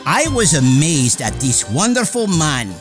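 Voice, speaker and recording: A man's voice: fairly high pitch, sing-song, a little slow. An actor playing a proud immigrant inventor who narrates his life formally and dramatically in a radio theater piece. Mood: earnest narrating. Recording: music under the voice.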